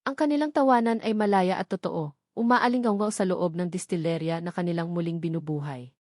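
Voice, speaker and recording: A woman with a Filipino accent reads a scripted romance story as narrator, with measured, expressive dramatic delivery. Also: fairly low pitch, dry recording, studio quality.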